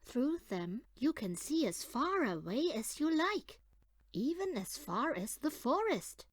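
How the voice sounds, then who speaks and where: A woman reading a children's picture book aloud as an audiobook, in an expressive, deliberate storytelling manner. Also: sits high, no echo, studio quality. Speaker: audiobook reader.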